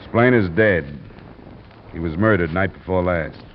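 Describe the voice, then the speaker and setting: An actor with an American accent playing a hard-boiled, wry private investigator in clipped, deadpan old radio style. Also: male voice, low voice, unhurried. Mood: firm, calm. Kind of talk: 1940s-50s radio detective acting and narration. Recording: muffled, noisy old radio recording.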